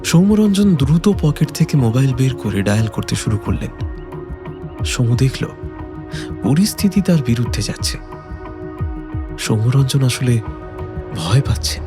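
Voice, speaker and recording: A man's voice: mid-range voice, big pitch swings, normal speed, pauses often, over music. A man narrating a detective audio story from a script in a dramatic style.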